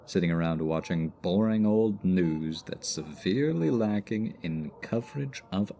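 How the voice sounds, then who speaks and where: A man's voice: sits low, lively intonation, unhurried, creaky, warm tone, slight background noise, full character voice. A man playing a cocky, teasing supervillain stuck as a hospital patient in an audio roleplay, talking with smug mock politeness. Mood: cocky, playful. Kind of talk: ASMR roleplay audio drama.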